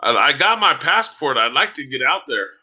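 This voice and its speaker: A man hosts a casual live stream and talks straight to his viewers, with lots of fillers and "man" at the ends of lines. His voice is very bright and tense, and it is getting hoarse after a long time on air. He has a mid-range pitch and rambles loosely from one point to the next. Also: sing-song, normal speed, muffled recording. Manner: addresses viewers by name, says "man" often. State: voice going hoarse.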